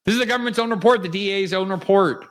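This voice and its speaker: A man's voice: high voice, fast talker, a bit bright. A man from South Florida hosting a political commentary show, delivering an emphatic, indignant, cutting monologue. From South Florida, USA. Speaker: host.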